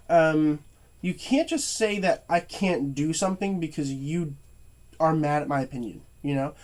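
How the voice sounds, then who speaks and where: Young male movie reviewer, slightly high voice, stuffy and tired, stutters, halting delivery. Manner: stuttering, halting, many fillers and restarts. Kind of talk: casual to-camera rant.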